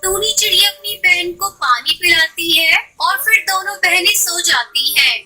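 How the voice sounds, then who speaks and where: A woman narrator tells a scripted story for children. Her voice is extremely high in pitch and very sharp and bright. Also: normal speed, poor audio.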